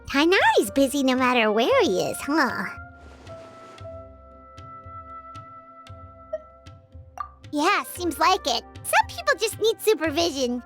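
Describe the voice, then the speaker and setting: A tiny childlike game fairy, squeaky and extremely high. Her delivery swings up and down in excitable exclamations, with music prominent behind her. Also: moderate pace, heavily acted. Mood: puzzled. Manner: speaks of herself in third person, exclaiming. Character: small childlike excitable floating fairy companion, female. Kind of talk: video game voice acting.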